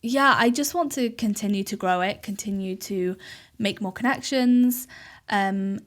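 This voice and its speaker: A woman's voice: medium pitch, measured pace. Chatty young Welsh woman. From Cardiff, Wales. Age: 20s. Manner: chatty, frequent ums. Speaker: podcast guest. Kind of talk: casual interview chat.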